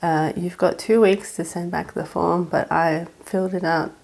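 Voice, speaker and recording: Raspy-voiced Australian woman vlogger. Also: fairly low pitch, steady tone, moderate pace, darkish tone, poor audio. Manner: chatty, practical step-by-step tips. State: raspy voice from a cold. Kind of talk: casual advice vlog.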